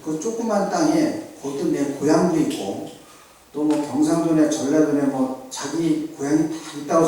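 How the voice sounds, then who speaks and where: A man's voice: on the high side, warm tone, slight background noise. Middle-aged male pastor preaching in an echoey church. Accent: Seoul Korean. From South Korea. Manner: conversational preaching with anecdotes and rhetorical questions. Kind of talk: sermon. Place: church sanctuary. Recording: very echoey hall, voice from a distance.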